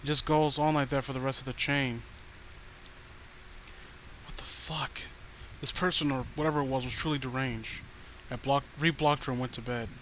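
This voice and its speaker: Bright-voiced man reading a horror story. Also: medium pitch, fairly even intonation, moderate pace, poor audio. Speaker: story narrator. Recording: faint background noise.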